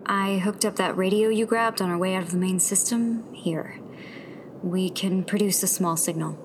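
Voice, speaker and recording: A woman playing a thoughtful, self-doubting young rebel in a sci-fi radio drama, with a soft-spoken, hesitant manner. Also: medium pitch, moderate pace, noisy background, lightly acted. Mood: matter-of-fact.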